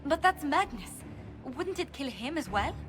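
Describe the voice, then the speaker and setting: A woman's voice: sits high, sing-song, moderate pace. A woman playing an eager, anxious adviser in a fantasy game drama. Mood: alarmed. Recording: loud music behind the voice.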